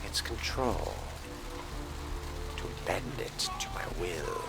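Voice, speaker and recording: Man playing a deep, slow, menacing vampire. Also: lively intonation, vocal fry, heavily acted. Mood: brooding. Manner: slow, theatrical, formal, brooding. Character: menacing power-hungry fledgling male vampire, coldly arrogant. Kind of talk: dramatic role-play monologue over a video game. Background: loud music.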